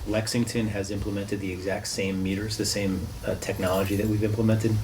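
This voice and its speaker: A man speaking off the cuff as a town official at a meeting, halting and restarting phrases. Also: lowish voice, level tone. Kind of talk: unscripted meeting remarks. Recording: distant mic, noisy room.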